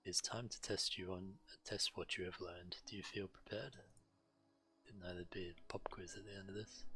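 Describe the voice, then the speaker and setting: Deep, bright-voiced man reading aloud. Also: poor audio. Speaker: game streamer. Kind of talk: reading quest text aloud during a game stream. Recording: game music behind the voice. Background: video game music.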